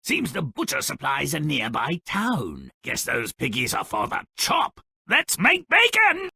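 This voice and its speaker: A man voicing a gleefully nasty, sardonic gnomish narrator in a fairy-tale video game, with a raspy, gravelly voice and a theatrical, drawling delivery full of relish. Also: a little high, huge pitch swings, measured pace, bright, forceful tone, no echo, heavily acted. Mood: wry menace, then manic glee. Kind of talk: theatrical scripted storybook narration.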